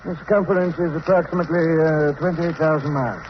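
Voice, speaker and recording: An actor playing a calm, learned professor in a vintage radio drama, explaining in a measured way on a muffled, noisy recording. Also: male voice, a little high, close to an everyday voice. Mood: explanatory.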